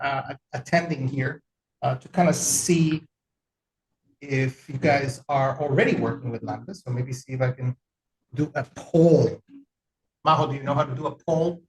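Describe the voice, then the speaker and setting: A man from El Salvador giving a relaxed, conversational technical webinar with frequent fillers. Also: a little high, reverberant, rough recording. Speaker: webinar presenter.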